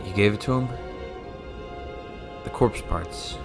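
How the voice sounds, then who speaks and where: A man's voice: on the low side, slow, music in the background. An actor in an anime-style fan dub playing a terse, incredulous male companion.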